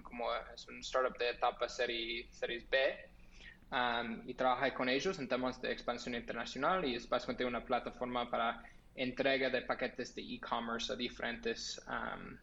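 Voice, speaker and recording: Man, American accent, startup guest, hesitant with many fillers, middle pitch, muffled recording. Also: flat delivery, vocal fry, a bit bright. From Los Angeles, United States. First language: English. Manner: halting, many fillers and restarts. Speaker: startup founder and CEO, guest. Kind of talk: podcast interview.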